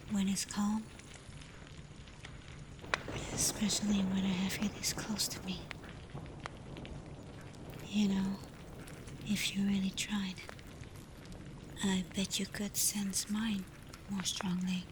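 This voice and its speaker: A woman playing a tender, protective alpha werewolf in an intimate ASMR roleplay, speaking softly and breathily, often in a whisper. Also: medium pitch, flat delivery, lightly acted. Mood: soothing, curious. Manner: slow, gentle, hesitant when confessing feelings. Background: ambient storm noise.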